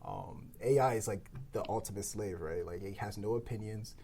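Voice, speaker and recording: A man's voice: on the low side, normal speed, vocal fry, dark tone, slight background noise. A man hosting a podcast, talking casually in a round-table discussion. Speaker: podcast host.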